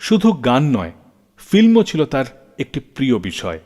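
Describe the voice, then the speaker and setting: Reverb-soaked man, animated radio host. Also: medium pitch, normal speed. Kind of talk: scripted storytelling narration for a music radio show. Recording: long reverb, music underneath. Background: faint background music.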